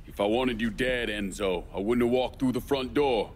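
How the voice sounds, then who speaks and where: An actor playing a cool, tough young American war veteran, terse and controlled, in a video game. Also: male voice, mid-range voice, normal speed, a little hiss or hum, lightly acted. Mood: cool, firm. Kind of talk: video game cutscene dialogue.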